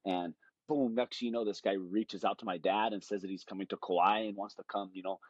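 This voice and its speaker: A man's voice: on the low side, steady tone, a little fast, husky, no room sound, lo-fi sound. A man, telling stories in a rambling, easygoing way as a podcast guest. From Hawaii. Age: late 20s. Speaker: guest, jiu-jitsu fighter. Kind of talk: casual podcast conversation.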